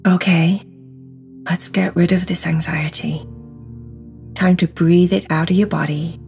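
A woman's voice: fairly low pitch, steady tone, measured pace, creaky, darkish tone. A woman guiding a meditation in an instructional, soothing and encouraging manner, stressing words by repeating them. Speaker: meditation guide. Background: music.

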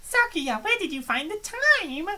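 A high, airy, dainty vampire girl of noble manner, as a comic dubber puts on, sweetly eager and exclaiming. The pitch is lifted and lively, in a cute comic dub style. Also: a little slow, full character voice. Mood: delighted.

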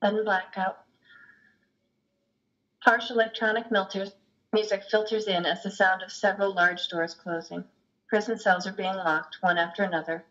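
A woman's voice: mid-range voice, steady tone, normal speed, long pauses, fairly bright tone. A middle-aged woman reading scripted stage directions as a play's narrator over a video call. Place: room at home. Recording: dull video-call audio.

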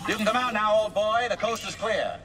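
A man's voice: sits high, fairly quick, slight background noise. A man playing a cheery, wry robot bartender in a video game, with a showy salesman's manner. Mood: wry, chipper. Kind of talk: video game cutscene acting. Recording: long hall reverb under loud music.

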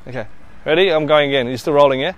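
A man's voice: fairly high pitch, racing, highs cut off. A middle-aged man from Australia hosting a casual camping vlog by a river, chatty and upbeat, laughing off his own slip-ups. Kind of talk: casual travel vlog with bloopers. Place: outdoors by a river in a bush reserve. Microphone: camera from a few metres away.

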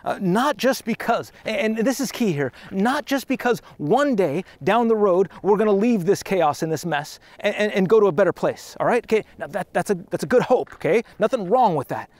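A man's voice: high-pitched, expressive, normal speed, hardly any pauses, darkish tone, no room sound. A man in his thirties preaching a sermon outdoors on a trail, earnest and conversational, with frequent restarts. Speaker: pastor.

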